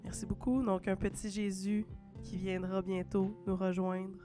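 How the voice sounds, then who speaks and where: A woman's voice: mid-range voice, level tone, a little slow, warm tone, faint music behind. A woman with a Québécois French accent hosting a livestream while sick with a cold, chatty and full of "euh" fillers and playful asides. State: congested with a cold. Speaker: livestream host.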